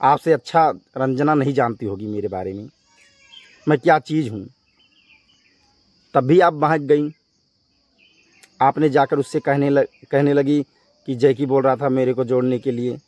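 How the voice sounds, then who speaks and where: A man's voice: mid-range voice, steady tone, unhurried, pauses often, darkish tone, dry recording. A man speaking as a vlogger in a confrontational rant to his viewers, scolding and emphatic, often repeating himself. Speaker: YouTube vlogger addressing viewers.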